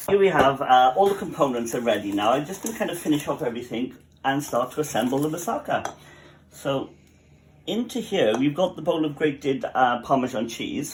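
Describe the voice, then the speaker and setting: A man's voice: mid-range voice, a little hiss or hum, rough recording. A man with a Welsh accent who runs a cafe, talking viewers through a cooking tutorial at his home kitchen in a chatty way, with joking asides. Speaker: cafe cook.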